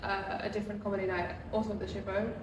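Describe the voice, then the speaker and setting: A woman's voice: medium pitch, fast. A woman, a comedian chatting casually in an interview with lots of "um" and "like". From Australia. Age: 20s. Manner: relaxed, chatty, with many fillers like "um" and "like". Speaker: comedian and comedy night producer. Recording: echoey room, mic far off, noisy background.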